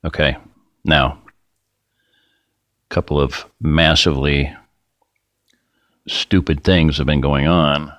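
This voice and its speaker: A middle-aged man hosting a rambling, folksy screen-share talk, his voice getting raspy and dry. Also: very deep voice, fairly even intonation, takes it slowly, long pauses, fairly bright tone, dry recording, dull audio. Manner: rambling, folksy, self-interrupting. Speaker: video host.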